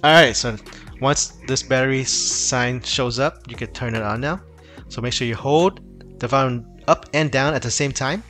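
A man's voice: mid-range voice, moderate pace, fairly bright tone, faint music behind. A man casually walking viewers through a phone repair tutorial, loose and rambling with frequent restarts. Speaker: tech tutorial host. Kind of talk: casual screen-recorded tutorial.

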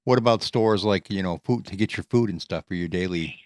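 A man hosting a radio talk show, relaxed and joking in a casual interview with a caller. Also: low-pitched, brisk, no room sound, clean studio sound. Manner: relaxed, joking, laughs easily. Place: radio studio. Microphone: studio microphone.

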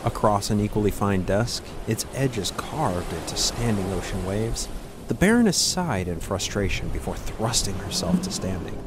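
A man's voice: fairly low pitch, lively intonation, moderate pace, background noise. A man giving a scripted narration of a fantasy story as its narrator.

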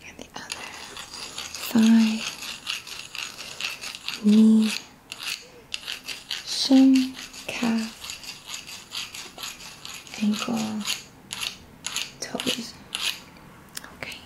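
Woman, 30s, whispery ASMR guide, very slow pace, gentle short phrases, noticeable background noise. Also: a little high, steady tone. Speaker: ASMR Reiki practitioner and host. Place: small indoor room. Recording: clear background noise with small clicks.

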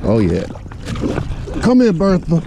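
Slow-talking American man on a boat. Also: fairly high pitch, wildly swooping pitch, vocal fry. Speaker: fishing companion. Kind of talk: casual chat on a boat. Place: outdoors on a small boat on a lake. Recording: noisy outdoor boat audio.

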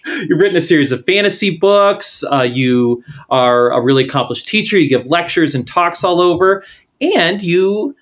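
A male podcast host with a lively, animated voice that rises and falls freely. He opens with a prepared intro, then shifts into relaxed conversation with his guest. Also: a little high, normal speed. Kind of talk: scripted intro then casual interview.

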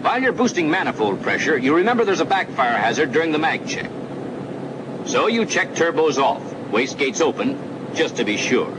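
A man's voice: a little high, very animated, moderate pace. A man narrating a 1940s military training film in scripted second person, explaining procedures in an instructive way. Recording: old film soundtrack with engine drone. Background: aircraft engine noise.

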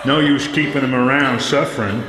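An actor playing a cruel, crazed male keeper of captives in a radio drama, taunting and excitable, with rustic grammar. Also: mid-range voice, a little fast, noisy background, lightly acted. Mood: gloating menace. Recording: echoey reverberant radio drama, loud music.

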